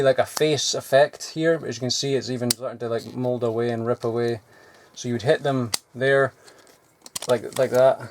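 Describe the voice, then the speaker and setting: A man's voice: medium pitch, darkish tone, a little hiss or hum. A man rummaging through a cluttered loft, talking casually with lots of fillers and swearing as he shows off old toys. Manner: swears often, filler-heavy and digressive. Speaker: vlogger. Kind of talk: casual rambling show-and-tell.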